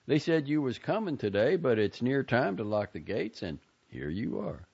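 A man's voice: mid-range voice, sing-song, moderate pace, vocal fry, darkish tone, husky, pitched above the performer's own voice, exaggerated voice. A man voicing a drowsy, middle-aged gate guard with a folksy drawl. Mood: gruff. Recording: muffled lo-fi recording.

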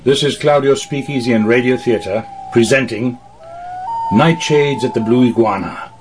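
A man's voice: mid-range voice, normal speed, background noise. A man hosting a talk radio show, introducing the program and addressing his listeners from a studio.

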